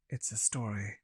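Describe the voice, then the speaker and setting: Husky, low-voiced outcast island madman. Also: dark tone, no echo, clean studio sound, exaggerated voice. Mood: guarded, bitter. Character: outcast island madman, rejected, wary, secretive survivor. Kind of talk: audio drama acting.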